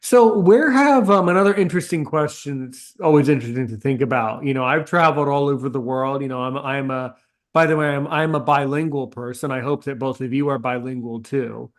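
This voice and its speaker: A man's voice: medium pitch, brisk. A man hosting a casual interview, talking in a rambling way and repeating phrases and fillers. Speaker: interview host.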